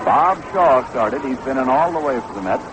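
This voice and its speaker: A man's voice: mid-range voice, lively intonation, moderate pace, lo-fi sound. An American man calling baseball play-by-play on the radio in a 1960s commentary style, from a ballpark booth. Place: outdoor ballpark broadcast booth. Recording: noisy vintage 1960s radio broadcast.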